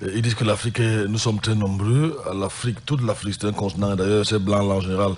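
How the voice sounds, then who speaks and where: Deep-voiced man, sick pastor hosting radio. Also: fairly even intonation, fast talker, a little mellow, slightly rough. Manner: conversational, reads out phone numbers and listener messages.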